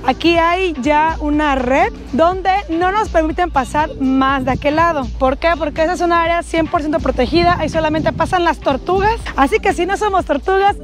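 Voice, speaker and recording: A young woman vlogging on a sunny beach and in the shallow sea, talking excitedly to her viewers through an action camera held at arm's length. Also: high-pitched. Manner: enthusiastic, addresses viewers as family. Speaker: travel vlogger. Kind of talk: upbeat travel vlog to camera.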